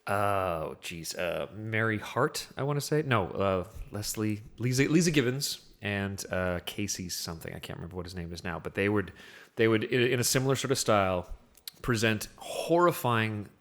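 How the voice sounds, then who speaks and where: A man's voice: on the low side, lively intonation, normal speed, fairly bright tone. A man in a casual film discussion, rambling and thinking aloud as a podcast panelist.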